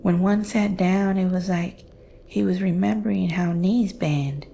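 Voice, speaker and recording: A woman playing a haunted, fearful witness, telling a horror story in a hushed, halting, deliberate way. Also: on the low side, steady tone, vocal fry, slight background noise. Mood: haunted, uneasy. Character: haunted, fearful woman recounting her mother's terrifying secret. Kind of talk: slow dramatic horror storytelling.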